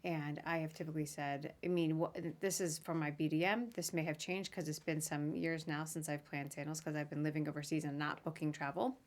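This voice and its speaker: A woman's voice: low voice, flat delivery, a little fast, hardly any pauses. A woman hosting a podcast, interviewing a guest in an informal, chatty, conversational way. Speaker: podcast host.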